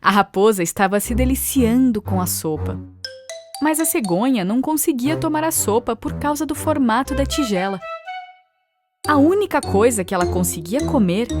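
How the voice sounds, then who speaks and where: A woman's voice: a little high, expressive, moderate pace. A woman with a Brazilian accent narrating a scripted fable for children as a storyteller. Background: music.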